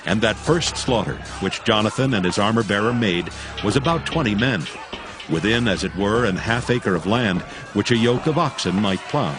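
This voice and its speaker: A man narrates scripture in a solemn, dramatic storytelling style. His voice is low and measured. Music is clearly heard beneath the reading. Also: expressive.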